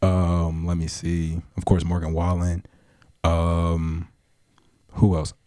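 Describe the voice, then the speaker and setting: A young man in his twenties, a guest musician, chatting casually on a podcast in a relaxed, slangy way full of fillers. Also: low voice, slow.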